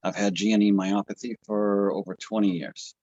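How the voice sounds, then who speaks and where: A man in his forties from the United States, a patient panelist on a video call, who talks in a casual, halting, encouraging way. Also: on the low side, fairly even intonation, darkish tone, no room sound, highs cut off. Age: 40s.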